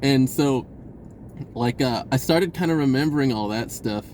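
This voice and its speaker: A man telling a personal story in a casual, rambling, informal way with an animated, giggly delivery. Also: mid-range voice, normal speed, a little hiss or hum.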